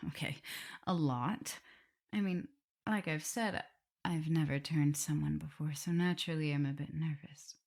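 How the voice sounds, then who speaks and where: A woman acts out a loving, nervous vampire mistress for an ASMR roleplay. Her voice sits very low for a woman, with heavy vocal fry. She talks haltingly and intimately, easily drifting toward a whisper. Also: exaggerated voice. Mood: nervous, affectionate. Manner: hesitant, halting, breaking into whispers and soft laughs. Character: tender, nervous, guilt-ridden female vampire mistress in love.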